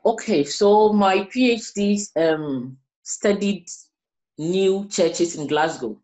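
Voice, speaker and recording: A middle-aged woman with a West African accent speaks at a medium pitch. She explains things as an academic interviewee would, in measured phrases broken by short pauses. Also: mellow. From Ghana, also grew up in the Gambia. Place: small indoor room, plain wall.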